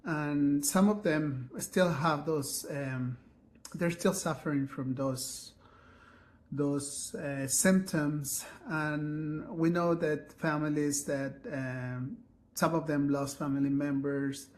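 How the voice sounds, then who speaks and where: A man from Ecuador speaking in a documentary interview, measured, with pauses and restarts. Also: a little high, fairly even intonation.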